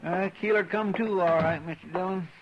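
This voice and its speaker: An actor playing a loyal, plain-spoken frontier deputy with a folksy drawl and country grammar, in a vintage radio drama. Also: male voice, sits high, moderate pace. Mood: earnest folksy. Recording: dull, hissy old radio broadcast.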